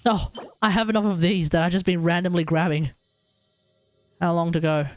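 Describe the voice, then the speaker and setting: A man's voice: sits high, normal speed, faint music behind. An Australian man giving casual, rambling game commentary on a livestream, often talking to himself.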